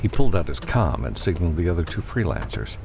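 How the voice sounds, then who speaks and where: A man's voice: deep voice, very animated, quick pace, vocal fry, a little mellow, a little hiss or hum. A man reading scripted fiction as an audiobook narrator.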